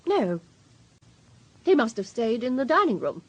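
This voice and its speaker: Prim woman, husky and high. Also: sing-song, unhurried, full character voice, poor audio. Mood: firm, brisk. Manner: precise, haughty, formal. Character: prim, respectable, disapproving female witness, sure of herself. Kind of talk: radio drama acting.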